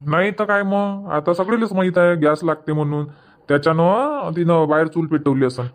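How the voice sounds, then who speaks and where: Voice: mid-range voice, moderate pace, close to an everyday voice. Grumbling Marathi village farmer husband, voiced by an actor. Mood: dismissive. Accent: rural Vidarbha Marathi. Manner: grumbling, dismissive. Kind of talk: cartoon comedy dialogue.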